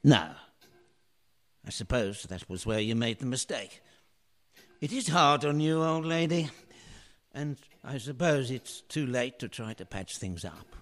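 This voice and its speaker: An actor playing a wry, regretful middle-aged husband, a washed-up painter, in a theatrical radio play style. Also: male voice, medium pitch, expressive, a little slow, lightly acted. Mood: rueful, tender. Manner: wistful, theatrical.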